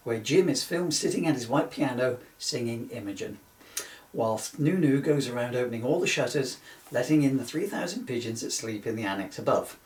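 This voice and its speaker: Man, mid-pitched voice, informal comic book reading, rambling asides, giggly delivery, roomy distant recording. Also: a little mellow. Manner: rambling, often breaking into giggles at own jokes. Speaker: author reading his parody book. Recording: roomy echo, distant microphone.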